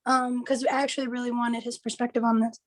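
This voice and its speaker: High-pitched woman chatting in a meeting. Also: flat delivery, fast talker. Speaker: participant in a group meeting. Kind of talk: casual group discussion.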